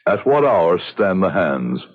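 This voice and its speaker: Puzzled impatient frontier judge, very expressive pitch, formal theatrical talk, muffled old radio sound. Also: male voice, measured pace, heavily acted. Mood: puzzled, probing. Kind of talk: old-time radio drama acting.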